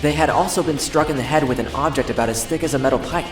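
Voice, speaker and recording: A man's voice: a little high, fast talker, over music, natural voice. A man as an earnest teenage boy, roomy echo. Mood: somber, determined. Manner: earnest, introspective narration. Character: earnest, anguished teenage boy high-school student. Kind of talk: anime game fandub, dramatic monologue.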